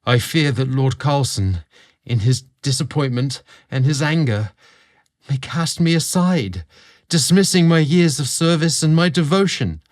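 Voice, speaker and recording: A man's voice: on the high side, animated, measured pace, pitched above the performer's own voice. A man voicing an anguished, devoted young gardener with pleading emotion in an audio story. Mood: anguished, fearful. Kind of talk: audio storybook dramatic reading.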